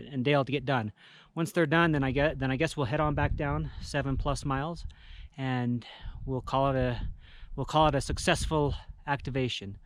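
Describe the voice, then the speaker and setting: A man talking casually to camera outdoors in a halting way, searching for his words. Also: mid-range voice, clear, resonant tone. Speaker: amateur radio hiker hosting a summit video. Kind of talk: casual vlog talk to camera. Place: outdoors on a rocky mountain summit.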